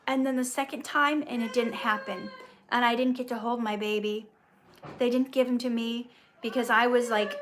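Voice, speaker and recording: Higher-voiced American woman, earnest and encouraging. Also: fairly bright tone, a little hiss or hum. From Minnesota, United States. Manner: earnest, encouraging, talking directly to viewers. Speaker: livestream host, actress and coach. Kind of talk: casual live question-and-answer chat.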